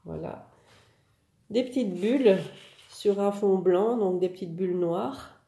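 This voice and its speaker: A woman's voice: mid-range voice, slow, mellow, reverberant. A woman with a head cold presenting new craft paper collections for her online shop in a chatty way, with many fillers. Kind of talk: casual product showcase.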